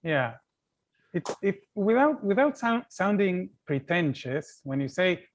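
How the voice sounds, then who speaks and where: Slightly high-voiced man hosting a panel. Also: sing-song, measured pace, slight background noise. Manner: thoughtful, hesitant, repeats words. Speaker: panel host. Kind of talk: online panel moderation. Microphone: video call.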